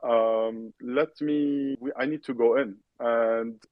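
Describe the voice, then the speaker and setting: A man from Lebanon talks through his past as a guest in an online chat. He speaks at a middle pitch in a measured way, often restarting a phrase. His voice sounds dry and close to the mic. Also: steady tone, no room sound, narrow, tinny audio. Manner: measured, with frequent restarts and fillers. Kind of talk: recorded online audio chat.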